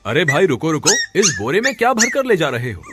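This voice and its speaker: A man's voice: fairly high pitch, wildly swooping pitch, a little fast, over loud music. A man voicing an inquisitive, businesslike wealthy merchant in a children's cartoon story. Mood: curious, doubtful. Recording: noisy background.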